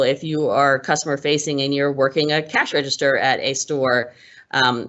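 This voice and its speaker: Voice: mid-range voice, fairly bright tone. A presenter explaining workplace manners in a casual, conversational way, with frequent ums and restarts. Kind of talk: casual instructional talk. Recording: muffled lo-fi recording.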